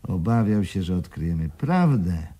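Husky, low-voiced shrewd businessman. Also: sing-song, normal speed, warm tone. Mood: sardonic satisfaction. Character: shrewd, sardonic male business partner. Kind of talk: 1980s Polish radio play acting.

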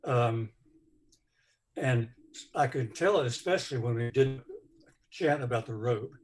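A man with a bass voice sharing personal news in a group, hesitant with many pauses and fillers. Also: slight background noise, poor audio.

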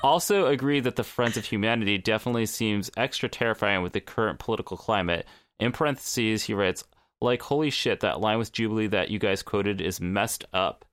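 Young male podcast co-host, smooth clear tone, loose casual delivery. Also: on the low side, a little fast, fairly bright tone, dry recording, clean studio sound. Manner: reads listener emails aloud, swears casually, stumbles over words. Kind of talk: casual chat.

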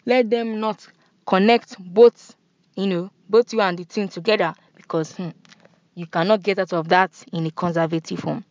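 A young woman with a Nigerian accent hosting a podcast in casual, animated chat. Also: medium pitch, moderate pace, dry recording, muffled recording. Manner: chatty, animated, laughs and switches into pidgin. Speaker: podcast host.

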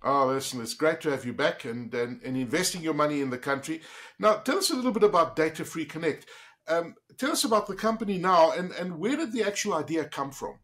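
A man's voice: a little high, expressive, fairly quick, a bit bright. A man hosting a business interview, introducing his guest and asking questions in an enthusiastic, upbeat manner. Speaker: interview host.

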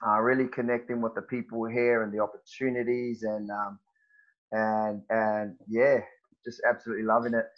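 A man's voice: lowish voice, little pitch movement. Easygoing New man, dull lo-fi sound. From New Zealand. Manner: halting, many fillers and restarts, says "man" and "bro". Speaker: guest, personal trainer. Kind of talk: casual podcast chat.

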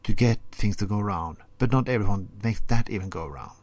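A man's voice: fairly low pitch, no room sound. Norwegian man, dry sarcastic commentary. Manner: deadpan sarcasm, halting non-native phrasing. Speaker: podcast segment presenter. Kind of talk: scripted commentary segment, sarcastic.

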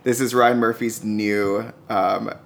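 A man as a podcast guest talking casually about television, halting as he goes and often checking in with "right?". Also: on the low side, flat delivery, gravelly, a little hiss or hum. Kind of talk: casual TV review chat.

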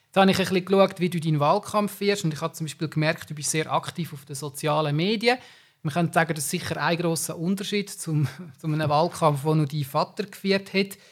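A man's voice: fairly high pitch, brisk. A man interviewing a political candidate, asking long, winding questions.

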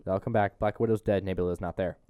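A man hosting a podcast, retelling a film in casual, excitable slang. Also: low-pitched, fast talker, crowd noise. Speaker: podcast host. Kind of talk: casual movie review chat.

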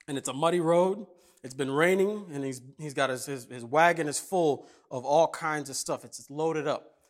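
A man from Kenya preaching a casual, jokey sermon and telling stories. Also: medium pitch. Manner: conversational, jokey, animated. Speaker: pastor.

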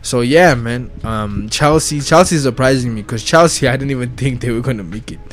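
A young man talks football in a loose, slangy rush, swearing freely and circling back on his points. He swings between hyped bursts and low mutters. Faint music plays behind him. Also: fairly quick. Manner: slangy, excitable, swears a lot, repeats himself. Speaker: football vlogger. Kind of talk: casual football rant.

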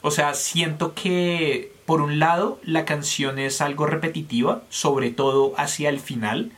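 A man's voice: fairly high pitch, steady tone, a little slow, a bit bright. A man from Colombia co-hosting a casual music review, candid and opinionated, thinking aloud with fillers.

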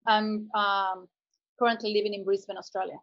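A woman's voice: medium pitch, level tone, normal speed. Woman, thoughtful, slightly dull sound. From Mexico. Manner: thoughtful, searching for words with many fillers. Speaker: artist guest. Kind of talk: conversational interview.

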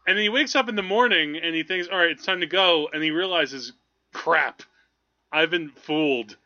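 Guy on a movie podcast, really bright kinda high voice, rambly stop-start talk, slightly muffled lo-fi sound. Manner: rambling, halting, thinking aloud. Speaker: film podcast host. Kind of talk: casual movie discussion.

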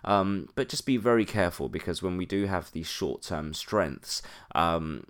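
A man with a very low voice, stuffy and congested from a cold. He talks through market news alone as a podcast host, steady and explanatory, with frequent "um" fillers. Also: normal speed. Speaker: podcast host, currency analyst. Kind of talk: solo market commentary podcast.

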